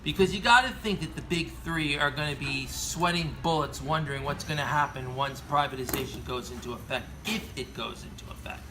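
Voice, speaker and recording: A man's voice: on the high side, a little fast, few pauses, background noise. An American man reading scripted opinion commentary as an aviation commentator, earnest and formal, stumbling over some words.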